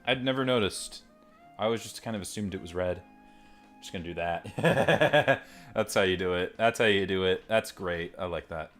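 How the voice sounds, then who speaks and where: Man, deep voice, rambling unboxing chat, self-deprecating, faint music behind. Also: normal speed, fairly bright tone. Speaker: toy reviewer. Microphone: desk microphone and phone mic.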